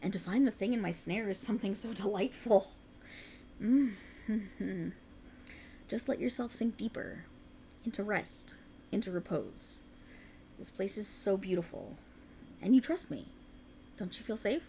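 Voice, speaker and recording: A woman's voice: mid-range voice, unhurried, pauses often, dark tone, a little hiss or hum, lightly acted. A woman voicing an ancient, alluring faerie in a scripted ASMR roleplay, soothing and coaxing with formal archaic phrasing, tender but sinisterly possessive. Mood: hypnotic, gloating.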